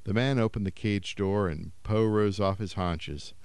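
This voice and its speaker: A man reading a short story aloud in a measured storytelling voice. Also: fairly low pitch, a little mellow, clear, resonant tone, no echo. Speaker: story reader.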